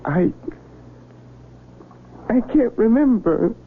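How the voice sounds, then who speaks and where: An actor playing a confused, earnest young wounded Civil War soldier with an American accent and a halting, stammering delivery, in 1970s radio drama style. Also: male voice, very high voice, sing-song, vocal fry, heavily acted. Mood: confused, distressed. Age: young adult. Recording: noisy, tinny old radio broadcast.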